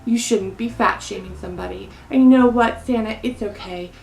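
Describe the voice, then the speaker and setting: A woman's voice: mid-range voice, a little fast, vocal fry, darkish tone, echoey room, poor audio. A woman reciting a poem with comic ad-libbed commentary in a sassy, colloquial, mock-scolding manner. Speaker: performer in a dramatic poem reading. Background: jazzy Christmas music.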